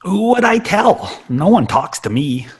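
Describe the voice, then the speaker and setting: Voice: medium pitch, sing-song, unhurried, slightly rough, exaggerated voice. An adult performer voicing a nerdy, friendless schoolboy in an earnest, awkward, self-deprecating way for a sitcom table read. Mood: glum, self-deprecating.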